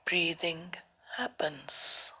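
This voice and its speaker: A woman leading a guided meditation as a teacher, speaking softly and often near a whisper, in calm, deliberate short phrases with long pauses. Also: on the low side, husky, poor audio.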